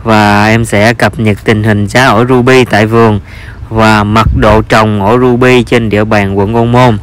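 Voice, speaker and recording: A man's voice: lowish voice, flat delivery, normal speed. A man with a Southern Vietnamese accent narrates an informal vlog as a farmer, with hesitant, repetitive phrasing.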